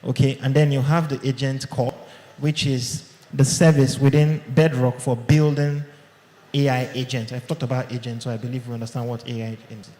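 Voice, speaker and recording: A man's voice: medium pitch, flat delivery, moderate pace, warm tone. A man giving a conversational live tech talk to an audience, often checking in with "okay?", recorded on a mic in a large hall. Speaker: tech conference speaker. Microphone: handheld or podium mic. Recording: echoey hall with long reverb.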